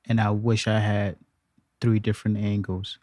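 A man's voice: on the low side, little pitch movement, moderate pace, darkish tone, no echo. A man on a podcast talking casually and thoughtfully as a guest. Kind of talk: casual chat.

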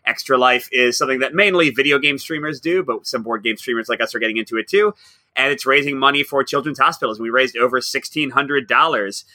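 A man's voice: medium pitch, brisk, sharp tone, no echo. A man co-hosting a casual podcast, upbeat and teasing, laughing often. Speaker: podcast co-host.

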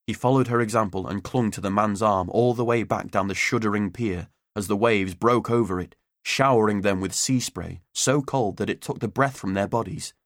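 A man's voice: lowish voice, dry recording, studio quality. A man reading a story aloud as an audiobook narrator, in a scripted storytelling style.

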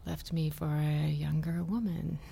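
Audio drama: dry, bruised middle-aged woman, lowish and gravelly, halting, self-deprecating, faint background noise. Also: flat delivery, dark tone, heavily acted. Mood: bitter, self-mocking. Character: wry, wounded middle-aged woman, laid-off civil servant. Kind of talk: naturalistic audio drama acting.

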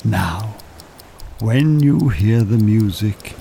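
An actor playing a smooth, calculating Irish con-artist hypnotist in an audio drama, soothing and commanding in a deliberate way. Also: male voice, lowish voice, animated, mellow, a little hiss or hum, full character voice.

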